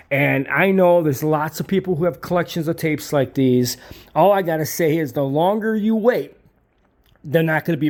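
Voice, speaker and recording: A man's voice: on the high side, darkish tone. A man giving an informal promotional talk about his own business, speaking bluntly and emphatically with salty, repetitive phrasing. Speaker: tape transfer business owner presenting his service.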